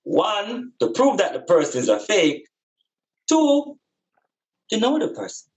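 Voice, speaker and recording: A Jamaican man speaks in a somewhat high-pitched voice. He talks in a reflective, spiritual style, stressing his points like a preacher. He often repeats phrases and poses rhetorical questions. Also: big pitch swings, measured pace, rough recording.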